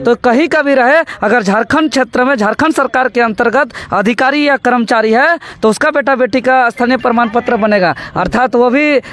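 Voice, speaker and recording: A man speaks in a strikingly high-pitched voice. He argues like an activist in an interview, laying out points methodically. His delivery is emphatic and rhetorical, leaning on repeated phrases. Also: a bit bright. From Jharkhand, India. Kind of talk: political interview, speech-like argument.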